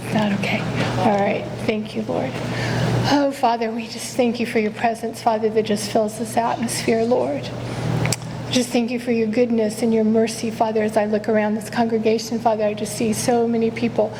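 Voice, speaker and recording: A quiet-voiced woman giving an earnest sermon and prayer as a guest speaker, often correcting herself. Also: fairly high pitch, level tone, normal speed, in a large hall, background noise. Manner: earnest, repeats "Father" in prayer, self-correcting. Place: church sanctuary. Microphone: stage microphone.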